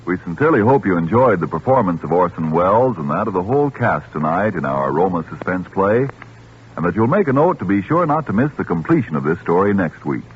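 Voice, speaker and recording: A man's voice: low-pitched, big pitch swings, fairly quick. An American man reading a scripted 1940s radio advertisement as the sponsor's announcer, with polished, formal salesmanship. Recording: husky-sounding muffled 1940s radio recording.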